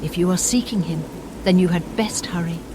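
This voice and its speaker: A woman's voice: lowish voice, moderate pace, background noise, lightly acted. A woman voicing a bitter, damned female spirit in a fantasy game, speaking in a solemn, theatrical and formal way. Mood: cold, ominous. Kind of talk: dramatic fantasy game dialogue.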